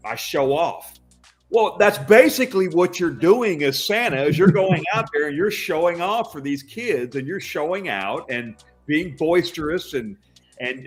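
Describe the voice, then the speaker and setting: Booming older man, chatty and loud. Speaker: guest, professional Santa. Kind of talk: casual podcast chat.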